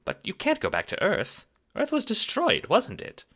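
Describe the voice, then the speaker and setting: Man putting on a high, timid alien lady. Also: wildly swooping pitch, moderate pace, fairly bright tone, full character voice. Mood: worried. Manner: timid, hesitant, worried. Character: timid, polite female alien person, gentle and worried.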